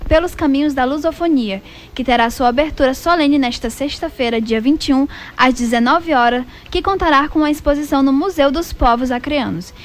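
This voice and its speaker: Woman, Brazilian Portuguese accent, high voice, radio host, polite formal questions. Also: brisk, hardly any pauses. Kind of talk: radio studio interview. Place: radio studio.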